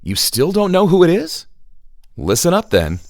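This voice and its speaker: A man's voice: high voice, wildly swooping pitch, normal speed, no room sound, studio quality, full character voice. A man narrating a children's audio adventure as a wry, teasing storyteller who builds suspense. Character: wry, teasing storyteller addressing young listeners. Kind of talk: scripted narration.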